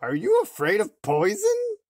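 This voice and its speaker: A man voicing a wicked, envious queen disguised as an old farmer's wife, loud and drawn out, in a storybook reading. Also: sits high, huge pitch swings, unhurried, voice pushed up, heavily acted. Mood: wheedling.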